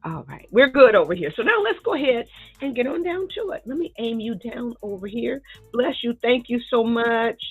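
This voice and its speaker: A woman's voice: fairly high pitch, lively intonation, normal speed, slightly rough, dry recording, faint music behind. A middle-aged woman with a nasal, congested voice, chatting casually with viewers while she sets up a painting lesson in her home studio. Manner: chatty, laughs often, talks to viewers as "y'all" and "baby". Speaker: art teacher live-streaming a painting lesson. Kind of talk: casual live-stream chat while setting up. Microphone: desk microphone near the table. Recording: tinny, narrow-band live-stream audio.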